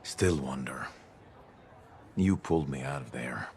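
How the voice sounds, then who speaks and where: Gruff older veteran, game role: extremely deep voice, roguish, weary, faint ambience behind. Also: male voice, lively intonation, unhurried, a little mellow, slight background noise, heavily acted. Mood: haunted, brooding. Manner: roguish, bantering, world-weary. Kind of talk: video game dialogue.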